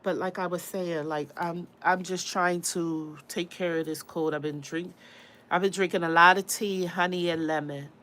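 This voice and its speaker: A woman's voice: fairly low pitch, fairly even intonation, normal speed, fairly bright tone. Congested woman chatting on a livestream. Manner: rambling, talks straight to viewers. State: congested with a cold. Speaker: livestream host. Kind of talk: casual livestream chat.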